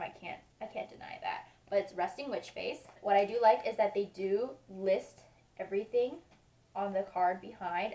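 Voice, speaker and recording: A woman's voice: medium pitch, a little mellow. A woman vlogger with a stuffy, sniffly nose, chatting casually and rambling as she reviews beauty products. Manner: chatty, rambling, repeats reassurances. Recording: roomy sound, mic at a distance.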